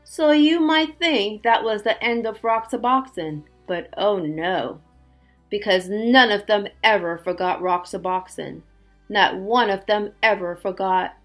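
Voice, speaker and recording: Female teacher for picture-book read-aloud: slow, expressive delivery, mid-range pitch, faint music underneath. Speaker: teacher reading a storybook aloud. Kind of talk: children's read-aloud with chatty asides.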